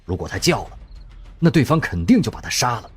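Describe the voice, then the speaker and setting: A man narrating an audio drama, telling the story expressively in a husky voice. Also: mid-range voice, big pitch swings, fast talker, faint music behind.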